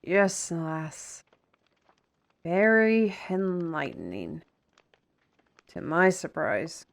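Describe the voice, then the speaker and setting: A woman playing a proud, fiery, defiant artisan steward in a fantasy audio roleplay, formal and deliberate. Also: on the low side, sing-song, gravelly, exaggerated voice. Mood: rueful.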